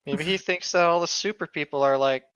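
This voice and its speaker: Bright-voiced playful man, dull lo-fi mic. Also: fairly high pitch, fairly quick, smooth, no room sound. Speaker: co-host. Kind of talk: reading a story aloud with joking asides.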